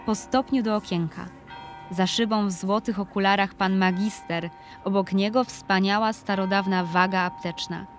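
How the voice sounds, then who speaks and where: A woman narrating scripted literary prose for an audio play in a composed, clearly phrased storytelling manner. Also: medium pitch.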